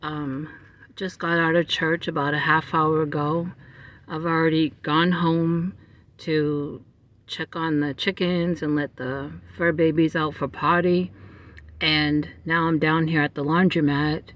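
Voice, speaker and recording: A middle-aged man chatting to his viewers from a parked car, meandering with frequent pauses. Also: fairly high pitch, level tone, a bit bright. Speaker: vlogger. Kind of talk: casual rambling chat to viewers. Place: parked car in a parking lot. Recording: slightly distant phone mic with faint ambience.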